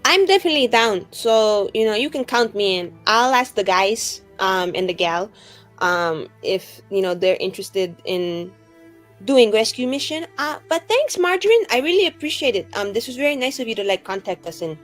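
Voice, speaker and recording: A woman's voice: fairly high pitch, sing-song, moderate pace, faint music behind. Woman playing a rambling, chatty young adventurer. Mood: eager, friendly. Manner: rambling, casual, filler-heavy valley-girl phrasing. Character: chatty, naive, friendly young female adventurer. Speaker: player in a tabletop game. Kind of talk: improvised tabletop roleplay dialogue.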